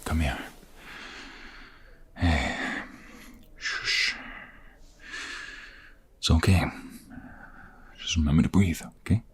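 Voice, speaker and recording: A man playing a protective ex-mobster in an audio roleplay drama, husky and breathy, defiant with others and tender with his fiancée. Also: rumbling low, very slow, pauses often, exaggerated voice. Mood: tender, soothing. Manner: intense, protective.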